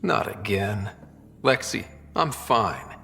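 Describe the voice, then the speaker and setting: An actor playing a young, easygoing and wry explorer hero in a video game, with a husky voice. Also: male voice, fairly low pitch, lively intonation, takes it slowly, bright, forceful tone, long reverb tail, faint music behind, heavily acted.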